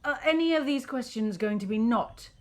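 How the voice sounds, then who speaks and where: A woman's voice: fairly high pitch, lively intonation, moderate pace, background noise, close to an everyday voice. A woman playing a bitter, scornful middle-aged aunt with a British accent, acerbic and dramatic, in an interactive mystery film. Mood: scornful, exasperated. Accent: British English. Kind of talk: naturalistic screen acting in an interactive mystery film.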